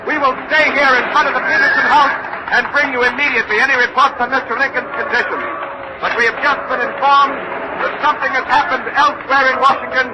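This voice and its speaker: A roaring crowd and heavy noise surround an excited male street reporter. His very high, loud voice delivers a dramatic, urgent live report in old radio style. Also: fast. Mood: horrified, urgent. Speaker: field reporter.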